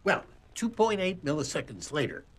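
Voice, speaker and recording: An older actor playing an eccentric, mild-mannered elderly scientist in a sitcom, with a precise, quaint way of talking. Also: male voice, fairly high pitch, sing-song, normal speed. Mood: matter-of-fact. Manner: precise, gently proud, quaint. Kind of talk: sitcom acting.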